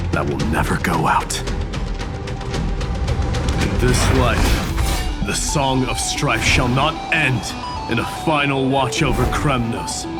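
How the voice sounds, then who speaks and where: An actor playing a proud, battle-worn god of strife in a video game, with solemn, grandiose declamation. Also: male voice, mid-range voice, lightly acted. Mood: proud, defiant. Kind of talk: English game voice acting, epic dramatic dialogue. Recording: very loud music behind the voice.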